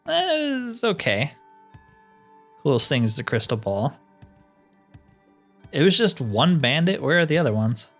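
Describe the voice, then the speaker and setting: A man's voice: mid-range voice, fairly bright tone. Male gaming host, mid-range voice, wry and casual, thinking aloud over music. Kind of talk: casual solo video game playthrough commentary. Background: game music.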